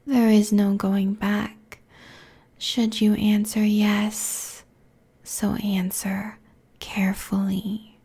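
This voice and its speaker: A woman voicing a seductive, merciless demon queen in an intimate ASMR roleplay, with a breathy, husky, commanding delivery close to a binaural microphone. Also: mid-range voice, little pitch movement, mellow, full character voice. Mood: menacing, seductive. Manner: slow, commanding, sultry, deliberate. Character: seductive, merciless succubus demon queen draining mortal souls.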